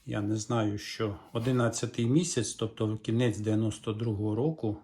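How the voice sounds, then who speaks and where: A middle-aged Ukrainian man in his home workshop, explaining a repair in a calm, musing way with small hesitations, recorded on the camera mic. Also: lowish voice, normal speed. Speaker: repair vlogger. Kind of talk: hands-on repair walkthrough.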